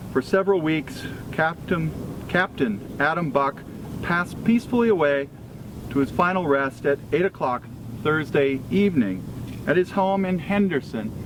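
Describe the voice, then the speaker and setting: A man with an American accent reading a formal obituary tribute aloud in a deliberate, solemn way, pausing between phrases. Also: a little high. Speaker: freemason reader at a memorial event. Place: outdoors at a cemetery event. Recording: clear ambient outdoor noise with vehicles.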